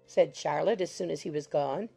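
A woman narrating a classic novel as an audiobook in a measured, formal storytelling manner. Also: low voice, gravelly, warm tone, breathy edge, soft background music. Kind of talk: scripted literary narration.

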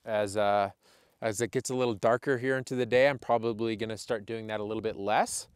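A man in his late twenties, cold, vlogging from inside a small tent on a snowy summit in a thoughtful, candid, self-deprecating way, with halting restarts. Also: lowish voice, fairly even intonation, smooth, no echo, studio quality. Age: late 20s. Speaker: outdoor filmmaker. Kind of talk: casual reflective vlog narration.

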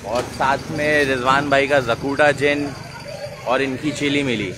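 A man's voice: mid-range voice, fairly even intonation, unhurried. Guy doing live commentary on a phone, noisy street all around. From Pakistan. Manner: chatty, greets viewers by name, repeats mashallah. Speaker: livestream host. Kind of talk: live commentary, greeting viewers. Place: city street outdoors. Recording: busy street noise and crowd chatter.

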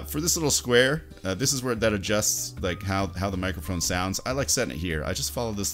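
A man in his thirties giving a casual, informal software tutorial, explaining step by step at a close desk microphone. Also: lowish voice. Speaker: tutorial host. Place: indoors at a computer desk.

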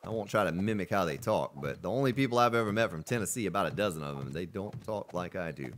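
A man's voice: low voice, animated, fast talker, slight background noise. A man from Florida chatting casually on a live game stream, answering viewers between gameplay remarks. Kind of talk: casual live-stream chat while playing.

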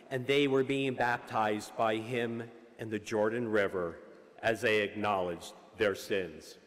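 An older man, a deacon, giving a solemn, measured and formal Gospel reading. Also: medium pitch. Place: large church indoors, at the pulpit. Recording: long hall reverb.

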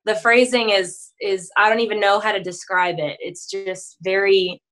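A woman's voice: mid-range voice, level tone, normal speed, a bit bright, low-quality recording. A young woman who is a country singer, talking with a podcast host in a friendly, chatty way with frequent fillers, from a room at home over a video call. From Texas. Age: young adult. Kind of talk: casual interview chat. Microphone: video call, webcam.

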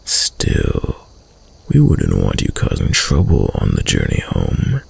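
A man playing a dominant, seductive villain prince who uses dark magic, slow and silky in an intimate audio roleplay, often dropping to a whisper. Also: huge pitch swings, heavily acted. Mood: sly, menacing. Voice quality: very deep, gravelly, breathy, often whispering. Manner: slow, commanding, silky, lingering pauses.

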